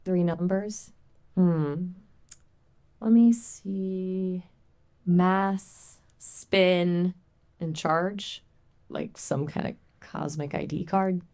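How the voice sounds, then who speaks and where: A woman's voice as a conversational AI assistant, chatting casually in a playful, expressive, colloquial way. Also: on the low side, very slow.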